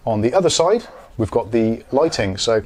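A man's voice: medium pitch, big pitch swings, moderate pace, a little mellow. A British man in his forties giving a chatty, explanatory product review in a casual presenter style. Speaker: host of a product review channel. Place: small home studio room, indoors.

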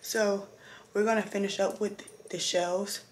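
A woman in her twenties with a sick, hoarse, partly lost voice, chatting casually to vlog viewers. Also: mid-range voice, fairly even intonation, measured pace. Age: 20s. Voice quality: hoarse, breaking into whisper. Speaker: vlogger. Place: indoors, mostly a bedroom at home. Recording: clearly noisy camera audio.

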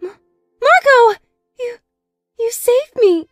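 A very high-pitched cartoon teenage princess. She is intensely emotional and earnest, a magical girl in a fan comic dub. Music plays behind her. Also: lively intonation, slow pace, no room sound, clean studio sound, full character voice. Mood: tender, grateful.

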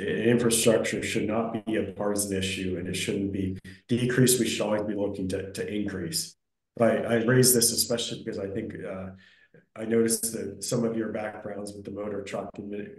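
A man's voice: fairly low pitch, a little mellow, roomy sound, poor audio. A middle-aged man with a head cold giving a businesslike presentation over a video call, talking earnestly with a few restarts. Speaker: association vice president presenting.

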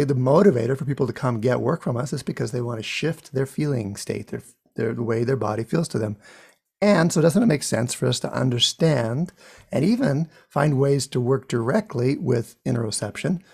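A man's voice: mid-range voice, creaky, a little mellow, husky. A middle-aged man talking earnestly straight to camera as a bodywork instructor, close to a studio mic in a small home room. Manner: earnest, conversational, with some restarts. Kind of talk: direct-to-camera promo talk. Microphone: large studio condenser mic up close.

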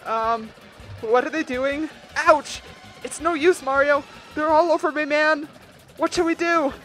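A woman's voice: high-pitched, measured pace, faint music behind. A woman voicing a timid, earnest young male turtle in a fan dub of a video game, reading a letter and yelping in panic. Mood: panicked. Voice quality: earnest, excitable, gets loud when startled. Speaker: fan voice actor.

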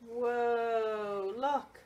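A woman reading a picture book aloud for children's storytime, expressive and sing-song, prompting young listeners with questions. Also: fairly high pitch, drawn-out words, highs cut off.

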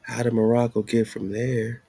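Guy with a gravelly voice doing slangy commentary, really dry close sound, keeps throwing out questions. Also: mid-range voice, little pitch movement, moderate pace, warm tone, no echo. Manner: rhetorical questioning, repeats phrases for emphasis; colloquial, slangy phrasing. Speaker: host. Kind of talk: informal commentary monologue over on-screen maps and documents.

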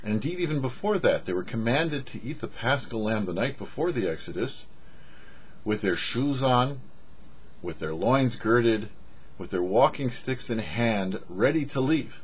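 A middle-aged man giving a scripted religious teaching to camera as a rabbi, deliberate and preacherly with emphatic pauses. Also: mid-range voice, expressive, breathy edge. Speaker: rabbi, show host. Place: small indoor studio with cloth backdrop. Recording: dull, muffled lo-fi audio.

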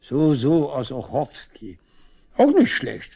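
An actor playing a proud, insistent old farm veteran in a German radio play. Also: male voice, on the high side, wildly swooping pitch, slow, gravelly, darkish tone, husky, full character voice. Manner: insistent, pestering, boastful. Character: stubborn, proud old village man, collective-farm veteran.

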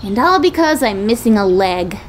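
A woman voicing an eager, playful young female lion cub in a small, childlike, excitable and innocent voice for a comic dub. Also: medium pitch, moderate pace, a little hiss or hum, lightly acted. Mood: chatty. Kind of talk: comic dub character acting.

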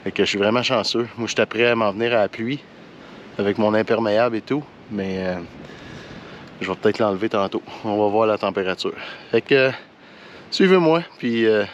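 A man with a Quebec French accent chatting casually to the camera as a hiking vlogger, in a relaxed, colloquial and slightly halting way. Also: fairly low pitch, highs cut off. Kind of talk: casual vlog chat to camera. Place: outdoors on a forest hiking trail and mountain summit. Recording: outdoor ambient noise behind voice.

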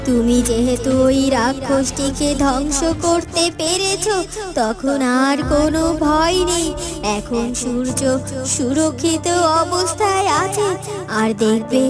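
A wise magical female being of a cartoon fairytale, speaks in a high voice. Her delivery is slow, deliberate and reassuring, with music behind it. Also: expressive. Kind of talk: cartoon dubbing.

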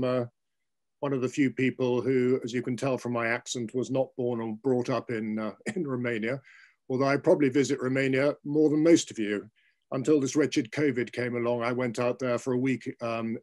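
A man's voice: medium pitch, a little mellow. An older man with a British accent giving a formal, articulate prepared speech as a guest on a video call. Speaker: invited guest speaker, arts patron. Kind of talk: prepared speech at an online launch event. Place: book-lined room at home. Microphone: video call, seated at computer.

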